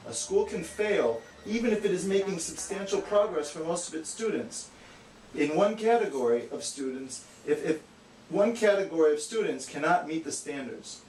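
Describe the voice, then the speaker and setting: Higher-voiced man explaining, echoey room. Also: animated, normal speed, a little mellow. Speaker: explainer, straight man in puppet show. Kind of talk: scripted educational explanation. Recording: echoey, distant, faint noise.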